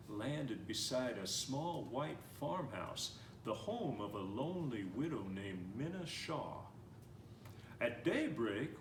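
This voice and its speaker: Male storyteller, lively read-aloud delivery, recorded from a little way off in an echoey room with faint hiss. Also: medium pitch. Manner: gentle, animated storybook reading with slowing and quickening pace. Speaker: storyteller reading a picture book. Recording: roomy, somewhat distant mic with faint noise.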